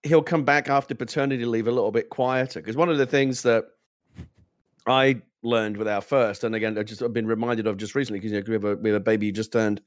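A man co-hosting a casual gaming show, loud and enthusiastic, rambling with restarts. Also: on the low side, fairly even intonation, quick pace, no echo, studio quality. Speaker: co-host. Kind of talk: casual gaming news chat.

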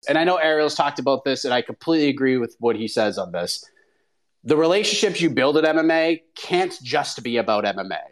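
A man hosting a casual MMA talk show at a medium pitch. He rambles, circles back and restarts his sentences, trailing off in fillers as he thinks aloud. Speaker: MMA journalist and show host.

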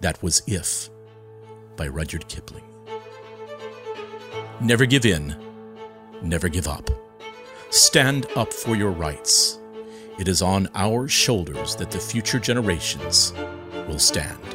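A man giving a solemn, deliberate dramatic recitation in the style of a poetry reading, with emphatic pauses. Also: low-pitched, animated. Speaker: poetry reader. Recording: close dry voice over background music. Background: music.

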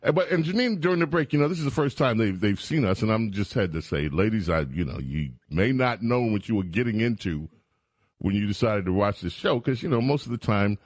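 A man's voice: medium pitch, no room sound. Male radio host, sarcastic, mocking, dry close studio sound. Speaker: radio talk show host. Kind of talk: talk radio chat. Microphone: studio microphone.

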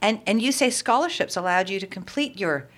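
A woman hosting a radio talk show, upbeat and brisk with her questions. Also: mid-range voice, expressive, fairly bright tone. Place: radio studio.

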